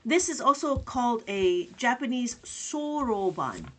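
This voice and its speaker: A woman's voice: on the high side, very animated, a little slow. Chatty woman teaching a live math lesson. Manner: chatty, greets viewers by name, counts aloud. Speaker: abacus teacher.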